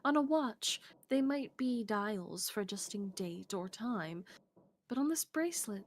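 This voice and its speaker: Woman narrating aloud, medium pitch. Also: animated, measured pace. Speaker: streamer narrating a visual novel. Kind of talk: read-aloud story narration with casual asides. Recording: faint background noise.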